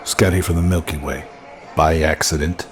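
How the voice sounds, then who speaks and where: An amateur actor playing a gruff, wary male spacefarer from Earth in a terse sci-fi radio drama. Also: rumbling low, steady tone, moderate pace. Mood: guarded, dry. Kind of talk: old-style sci-fi radio drama acting. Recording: music behind the voice.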